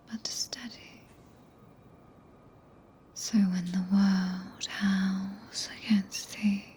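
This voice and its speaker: A woman with a British accent playing a tender, possessive, regal witch in a soft breathy ASMR whisper, with archaic, stately phrasing. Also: medium pitch, a little hiss or hum. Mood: possessive, soothing. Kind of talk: ASMR roleplay. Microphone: close binaural microphone.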